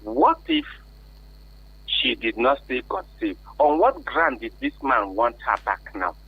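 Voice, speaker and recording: Husky Nigerian man on a tinny phone line. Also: medium pitch, huge pitch swings, measured pace. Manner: insistent, questioning, repeats points for emphasis. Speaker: call-in contributor. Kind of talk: unscripted advice on air.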